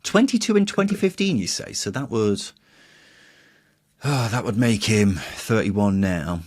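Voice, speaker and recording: A man's voice: on the low side, lively intonation, unhurried, dry recording, clean studio sound. A man hosting a football podcast, chatting casually with playful, teasing banter. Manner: jokey, playful teasing, mild swearing. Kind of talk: casual banter, quiz chat.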